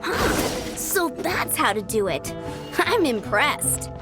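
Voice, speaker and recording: Enthusiastic young woman in knight training for a game, higher voice, lively melody, earnest and breezy. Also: slow, heavily acted. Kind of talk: video game voice acting. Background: game music.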